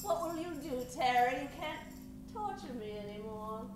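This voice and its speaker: A woman's voice: sits high, animated, normal speed, music in the background. A woman playing a menacing, unhinged condemned murderess in old-time radio drama style. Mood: defiant, menacing. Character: menacing, unhinged condemned female serial killer. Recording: echoey, distant, noisy live stage recording.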